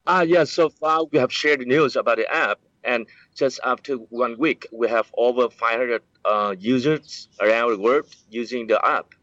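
A man's voice: mid-range voice, normal speed, hardly any pauses, a bit bright, dry recording. Hesitant man, dull lo-fi sound. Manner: hesitant, many fillers and restarts. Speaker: app developer, interviewee. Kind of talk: explaining, casual interview.

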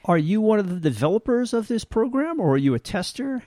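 A male interviewer with a slightly raised pitch asks measured, thoughtful questions. His voice is dry and close to the mic. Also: sing-song, dark tone, no room sound. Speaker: interviewer, host.

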